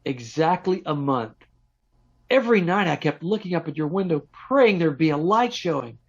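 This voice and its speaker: A man's voice: on the high side, animated, normal speed, husky. A man playing an eager, lovestruck young suitor in 1940s radio drama style, earnest, pleading and stammering. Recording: dull lo-fi remote call audio.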